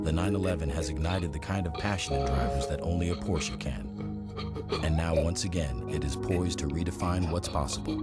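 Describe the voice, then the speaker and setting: Very deep male car-ad narrator. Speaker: commercial narrator. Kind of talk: scripted car advertisement voice-over. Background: loud music.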